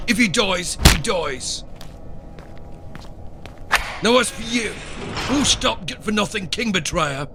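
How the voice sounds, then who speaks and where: A man's voice: high-pitched, lively intonation, slow, long pauses, music in the background, exaggerated voice. A man playing a cruel, foul-mouthed dungeon guard who bullies and taunts coarsely in a fantasy audio drama. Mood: menacing, taunting.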